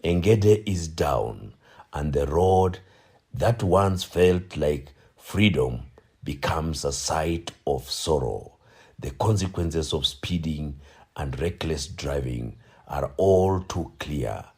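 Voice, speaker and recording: Male actor as radio play narrator, theatrical, solemn, raspy, unhurried. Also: a bass voice, animated, darkish tone, exaggerated voice. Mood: ominous. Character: grave, dramatic male narrator of a road tragedy. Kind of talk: dramatic scripted narration.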